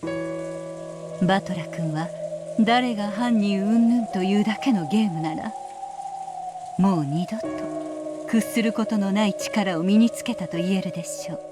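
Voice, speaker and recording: A woman playing a stern, motherly witch mentor in a Japanese visual novel, speaking in a measured, solemn, lecturing way. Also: mid-range voice, close to an everyday voice. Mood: grave, lecturing.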